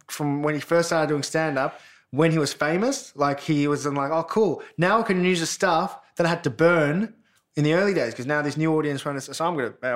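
A comedian, chatting casually as co-host. He laughs a lot, teases his friends and rambles, restarting his sentences as he goes. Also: on the high side. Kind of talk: casual chat.

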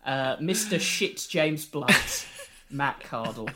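A man co-hosting a podcast in casual chat, with a wry, joking, unhurried manner. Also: a little high, sing-song, background noise. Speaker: podcast co-host.

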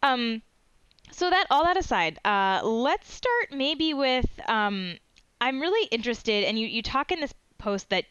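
A woman's voice: on the high side, very animated, measured pace, a bit bright, clean tone, no echo. A woman hosting an interview podcast, chatty and rambling with lots of fillers and restarts. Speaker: podcast host. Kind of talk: interview conversation. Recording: dry, dull lo-fi sound.

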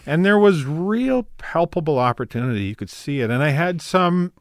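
A man's voice: on the high side, sing-song, normal speed, dry recording, studio quality. A middle-aged man from rural Saskatchewan with a Canadian prairie accent. He is a comedian chatting casually as a guest, rambling and correcting himself as he goes. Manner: rambling, self-correcting, laughs easily. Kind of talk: casual interview chat.

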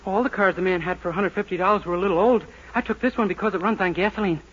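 An actor playing a naive, boastful, earnest young small-town cab driver in a 1940s radio sitcom. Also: male voice, high voice, fairly even intonation, rapid-fire, a bit bright. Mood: cheerful boasting. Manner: eager, guileless, cheerfully self-assured. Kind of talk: 1940s radio situation comedy acting. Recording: noisy, dull 1940s radio recording.

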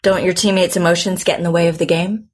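A woman playing a composed, probing psychotherapist in an audio drama, measured and clinical in her questioning. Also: lowish voice, level tone, breathy edge. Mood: calm, probing. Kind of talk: naturalistic audio drama acting.

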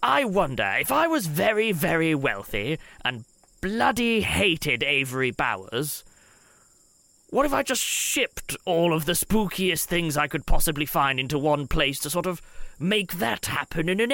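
A man's voice: on the high side, sing-song, unhurried, creaky, pushed and bright, no echo, full character voice. A man playing a polite, eccentric scientist who rambles hesitantly in an improvised tabletop game. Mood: earnest, rambling. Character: fussy, polite, eccentric male scientist investigator. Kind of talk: improvised tabletop role-play.

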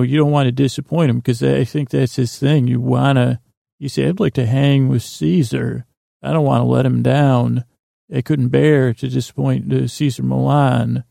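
A man hosting a podcast with a meandering, halting bedtime monologue that keeps drifting off track, in a gravelly, creaky voice. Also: medium pitch, dark tone, no room sound, clean studio sound. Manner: meandering, halting, digressive, loses his thread. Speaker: podcast host. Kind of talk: rambling bedtime monologue meant to help listeners sleep.

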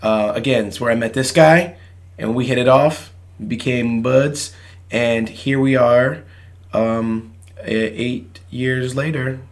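Young man, rough tired voice after a late night, rambling, chatty podcast co-host. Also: medium pitch, steady tone, takes it slowly. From Virginia. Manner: rambling, self-deprecating, laughs often. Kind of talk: casual chat.

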